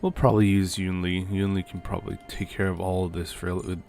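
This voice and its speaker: A man with a fairly low, gravelly voice talks casually to his livestream as he plays. He mutters offhand remarks to himself and swears mildly. Music plays softly behind him. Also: little pitch movement, a little fast. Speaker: streamer, host. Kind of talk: casual livestream chat while gaming. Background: game music.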